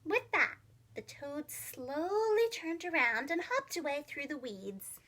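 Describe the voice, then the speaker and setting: A woman narrating a children's storybook as a preschool teacher, expressive, with simple phrasing for young children. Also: high voice, takes it slowly, slight background noise.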